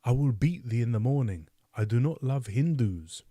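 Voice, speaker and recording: A man voicing a proud, quick-tempered teenage boy in an audiobook, speaking in indignant, boastful outbursts. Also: mid-range voice, lively intonation, mellow, no echo, clean studio sound, lightly acted. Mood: demanding. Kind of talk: audiobook character voice.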